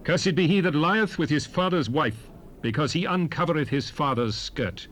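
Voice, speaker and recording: A man playing a solemn prophet, reciting scripture in a measured, declamatory way for a dramatized Bible recording. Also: fairly high pitch, a bit bright, natural voice. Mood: solemn, stern. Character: solemn male prophet proclaiming blessings and curses. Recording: hissy 1970s cassette recording.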